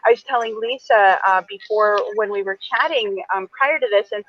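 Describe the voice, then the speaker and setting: A woman's voice: medium pitch, normal speed, fairly bright tone, muffled recording. A woman in her forties, an attorney, talking earnestly and a little rambling on a video call, with lots of fillers. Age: 40s. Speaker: attorney and event moderator. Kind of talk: virtual author event conversation.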